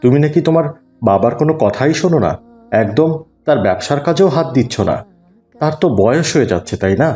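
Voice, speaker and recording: A man's voice: a little high, lively intonation, fast talker, mellow, close to an everyday voice. A man playing a kindly, pious older neighbour who gives patient, earnest advice in an Islamic cartoon. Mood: earnest admonishing.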